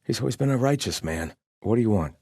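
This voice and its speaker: A man's voice: mid-range voice, animated, fast talker, darkish tone, slightly rough, no room sound, studio quality. A man playing a haughty, devout exiled soldier in a video game, speaking in a stern, disdainful and formal way. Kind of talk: video game character voice lines.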